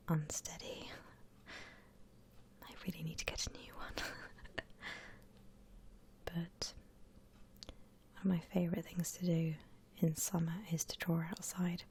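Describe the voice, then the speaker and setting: Slow, whispering ASMR woman. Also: on the low side. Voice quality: soft, breathy whisper. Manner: gentle, unhurried instruction. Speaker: ASMR art channel host. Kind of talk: whispered ASMR drawing tutorial.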